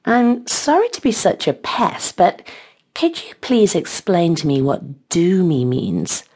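A woman's voice: sits low, animated, a little slow, gravelly, a little mellow, heavily acted. A woman voicing a playful, self-assured seductive woman who talks in a sweetly teasing, mock-innocent way in an audiobook.

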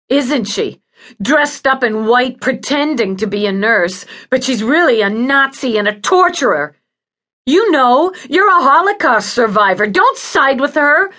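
Agonised female hospital patient, high-pitched, demanding, bitter, snarling, audiobook drama. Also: a bit bright. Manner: pleading, snarling, accusatory. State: in pain, desperate. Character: agitated, foul-mouthed woman patient, bitter and demanding. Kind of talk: audiobook character acting.

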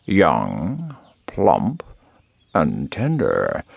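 A man voicing a wily, suave male fox villain in a storybook, with a smooth, drawn-out, self-satisfied delivery. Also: a bass voice, wildly swooping pitch, dry recording, dull audio, voice pushed down, heavily acted. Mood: gloating.

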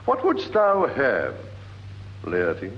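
A British actor playing a smooth, commanding king in a declamatory classical Shakespearean radio drama. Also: medium pitch, huge pitch swings, drawn-out words, full character voice. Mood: gracious, coaxing. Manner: stately, declamatory, rhetorical. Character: smooth, commanding new king addressing his council. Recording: dull, noisy vintage radio recording.

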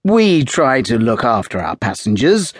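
A man voicing a ship's third officer, brisk, clipped and politely firm. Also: medium pitch, sing-song, slightly rough, dry recording, studio quality.

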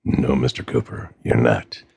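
A man voicing a cold, menacing male villain with a gravelly voice who threatens slowly. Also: very deep voice, fairly even intonation, a little mellow, no room sound, clean studio sound, voice pushed down, heavily acted.

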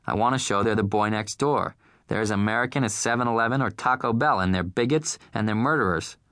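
A man's voice: fairly low pitch, brisk, fairly bright tone, dry recording, clean studio sound, lightly acted. A man voicing an irritable television producer who talks in a testy, exasperated way.

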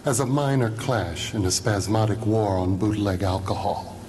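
Low-voiced formal male announcer. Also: normal speed, noisy background. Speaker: announcer for a radio theatre company. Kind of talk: formal scripted announcement and narration.

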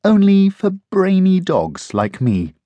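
A brainy, self-satisfied male puppy, voiced by a narrator drawls out his answers slowly and smugly. His pitch sits somewhat above the reader's own voice and swings widely. The reading is close and dry. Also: big pitch swings, dark tone, no room sound, dull audio. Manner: smug, slow and drawling. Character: clever know-it-all male puppy.

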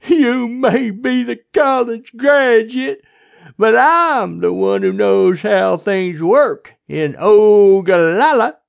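A bossy small-town sheriff from an audiobook, acted out by the narrator, barking loudly in a raised pitch. The words are stretched out slowly with folksy sarcasm, and the pitch swings widely. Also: animated, creaky, highs cut off. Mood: taunting.

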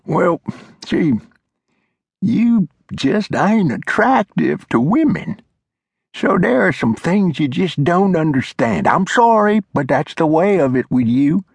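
Man putting on cranky old mountain man, high cackly Old drawl, teasing, husky, dry close sound. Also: big pitch swings, takes it slowly, no room sound, full character voice. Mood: needling.

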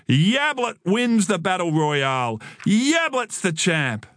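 Storybook role: furious, stubborn little boy, shouty and high-pitched, performed by a male narrator. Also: sing-song, slow pace, dry recording, studio quality, full character voice. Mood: defiant shouting. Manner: indignant, shouting. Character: angry, defiant young boy, littlest footy fanatic.

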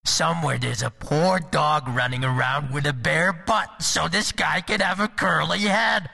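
An actor playing a mocking carnival dunk-tank clown in an audiobook, with a harsh, rasping voice and a taunting, sarcastic delivery. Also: male voice, fairly high pitch, a little slow, sharp tone, soft background music, heavily acted. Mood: sly mockery. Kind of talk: full-cast audiobook acting.

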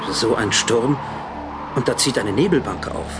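A man's voice: medium pitch, a little slow, heavy background noise, natural voice. An actor playing a sober, matter-of-fact male chief engineer on an oil rig in a German audio drama. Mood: puzzled. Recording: loud music behind the voice.

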